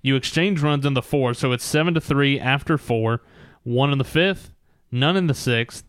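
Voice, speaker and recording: Sports host guy, clean smooth voice, announcer style recaps in short phrases, dry sound. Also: mid-range voice, moderate pace, no room sound. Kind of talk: sports talk and game recap.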